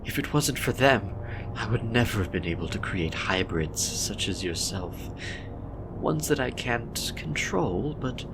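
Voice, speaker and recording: A man voicing an ancient female cosmic deity in a horror story, bored and sweetly menacing, with drawn-out, condescendingly tender delivery. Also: lowish voice, animated, noisy background, pitched above the performer's own voice. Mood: gloating fondness. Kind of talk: dramatic horror story reading.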